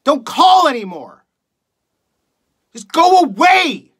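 Actor as embittered talk radio host: theatrical, scornful rant, tense edge, deliberate pacing, highly dynamic pitch. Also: expressive, husky. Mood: furious, desperate. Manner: scornful, accusatory, building from low muttering to shouting. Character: bitter, contemptuous late-night talk radio host ranting at listeners. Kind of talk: theatrical dramatic monologue.

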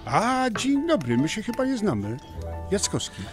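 An actor playing a frank, teasing friend with a colloquial, wry manner in an audio drama. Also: male voice, high voice, wildly swooping pitch, moderate pace, faint music behind. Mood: wryly polite. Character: blunt, teasing male friend of the groom's circle.

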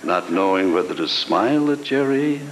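An older actor playing a devoted, tender suitor in a live old-time radio drama reading. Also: male voice, sits low, sing-song, moderate pace. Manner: tender, earnest, theatrical. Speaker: actor in a live reader's theatre. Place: hotel ballroom stage. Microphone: far camera. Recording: audience video in a ballroom, hum.

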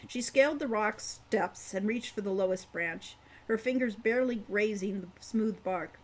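An author in her sixties reads her fantasy story aloud. She is a woman with a slightly husky, mid-pitched voice and a careful, measured delivery. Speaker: author reading her novel. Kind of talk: audiobook-style story narration. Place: room at home.

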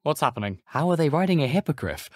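A man's voice: fairly high pitch, big pitch swings, fast talker, dry recording, studio quality. A young man with a British accent playing an eager, cheeky teenage wizard schoolboy in a video game, chatty, persuasive and self-assured. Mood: startled, excitable. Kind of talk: video game character dialogue.

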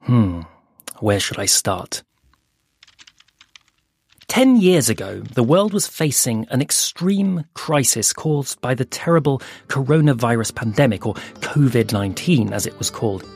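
A man narrating an audio fable in a slow, hushed storytelling manner with dramatic pauses. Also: medium pitch, lively intonation, breathy edge. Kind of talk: scripted audio fiction narration. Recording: soft music underneath.

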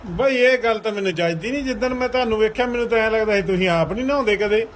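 A man's voice: sits high, very fast. A man with a Punjabi accent arguing on the phone as a trader, boastful and dismissive, often cutting in. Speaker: horse trader. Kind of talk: argumentative phone call in casual rustic speech. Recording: phone call recording with clear background noise.

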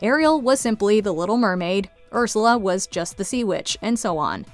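A woman's voice: mid-range voice, expressive, normal speed, faint music behind. A woman reading scripted video essay narration into a close studio microphone. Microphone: close studio voice-over mic.

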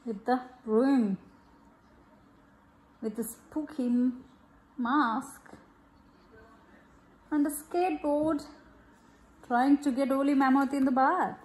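A woman with a high-pitched voice reads a picture book aloud to a child. She takes it slowly and expressively, checking in with questions. The room around her is echoey. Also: fairly even intonation, darkish tone. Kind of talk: storybook read-aloud with chat to a child. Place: room at home, at a glass table.

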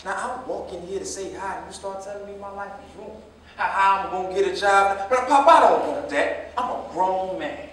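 A man's voice: high-pitched, moderate pace, fairly bright tone. An American man acting a proud, defensive grown son just out of prison, insistent, in a staged play reading. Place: indoor staged reading, recorded from afar. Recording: echoey, noisy stage from far off.

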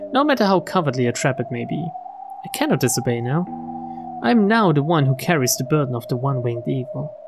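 An anxious but proud noblewoman played by a man speaks her thoughts formally and dramatically in a mystery visual novel. The voice sits somewhat high for a man, with music faintly underneath. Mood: resolute. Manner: formal, dramatic inner monologue.

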